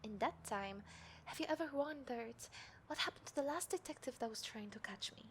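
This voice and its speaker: Woman acting a smug, high-voiced female serial killer. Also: animated, fairly bright tone, slight background noise. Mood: smug, boastful. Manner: smug, menacing, deliberate, dropping into breathy whispers. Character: taunting, confident female serial killer hunting a detective. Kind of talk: scripted audio roleplay addressed to the listener. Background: city ambience sound effects.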